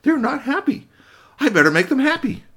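A man's voice: very high-pitched, sing-song, normal speed, fairly bright tone, pitched above the performer's own voice, exaggerated voice. A man voicing a small toy robot who is anxious to please the grown-ups. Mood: worried. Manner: worried, eager. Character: small cheerful toy robot, eager to help.

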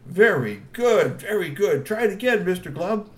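A man's voice: high voice, sing-song, moderate pace, pitched above the performer's own voice. A man voicing a cheery, self-important male journalist who talks in an eager, showy way.

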